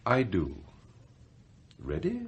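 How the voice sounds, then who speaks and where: Scheming murderous butler, radio comedy drama, polite, deadpan, measured, hugely varied intonation. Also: male voice, mid-range voice, gravelly, breathy edge, dull audio, exaggerated voice. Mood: deferential, coolly sinister. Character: immaculate, coolly scheming murderous male butler.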